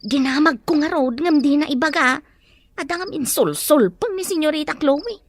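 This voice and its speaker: A woman acts out a gossipy household helper in an audio drama, complaining yet deferential. Her Filipino-accented voice is high and swings in pitch, over faint noise. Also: animated, moderate pace. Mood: flustered, deferential. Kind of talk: Ilocano audio drama acting.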